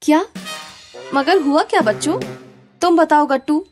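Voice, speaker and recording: A woman's voice: sits high, measured pace, faint music behind. A woman voicing a kind but firm schoolteacher who explains things to children in a cartoon story. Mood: concerned.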